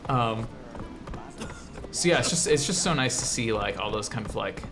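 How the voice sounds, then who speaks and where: A man's voice: mid-range voice, expressive, normal speed, over music. An American man from the Midwest hosting casual game commentary, rambling and explanatory with an enthusiastic manner. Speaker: show host, 3D artist.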